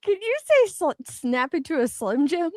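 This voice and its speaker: A woman co-hosting casually, chatting and reading online comments aloud in an animated, exaggerated way. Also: sits high, normal speed, no echo, studio quality. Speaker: co-host.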